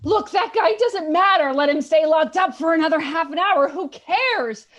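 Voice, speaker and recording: A woman's voice: very high voice, normal speed, fairly bright tone. A woman playing an impatient, sardonic mansion guest in a comedy mystery table read. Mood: dismissive.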